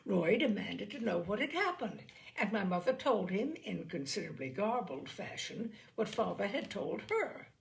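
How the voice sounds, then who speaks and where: A woman's voice: mid-range voice, very animated, normal speed, creaky. A woman reading a humorous short story aloud as narrator, with theatrical, emphatic phrasing.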